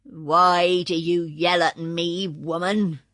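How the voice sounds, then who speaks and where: A woman voicing a sullen, remorseful old male ferryman in a storybook reading, speaking low and slow. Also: level tone, fairly bright tone, no echo, studio quality. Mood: gruff.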